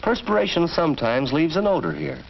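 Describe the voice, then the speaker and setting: A man's voice: fairly high pitch, animated, fairly quick, creaky. An American man narrating a 1940s educational film from a script, in an instructive, storytelling manner. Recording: dull vintage film soundtrack, faint noise.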